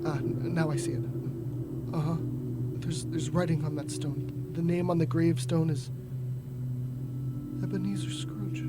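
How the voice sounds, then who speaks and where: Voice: medium pitch, fairly even intonation, a little slow, pauses often, heavy background noise, close to an everyday voice. A young adult performer playing an old repentant miser in a radio drama, theatrical and stammering. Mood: fearful, mournful.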